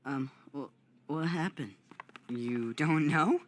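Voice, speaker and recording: A woman's voice: deep voice, takes it slowly, no echo. A woman playing an anxious young cartoon schoolchild, whining and pleading hesitantly. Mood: panicky, pleading. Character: anxious, whiny young schoolchild full of excuses. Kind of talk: animated cartoon acting. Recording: music behind the voice.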